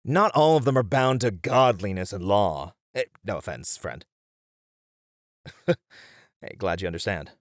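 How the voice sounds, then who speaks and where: A man playing a sensible, nice warrior in a fantasy audio roleplay, earnest and measured with mild exasperation. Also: fairly low pitch, animated, no room sound, studio quality.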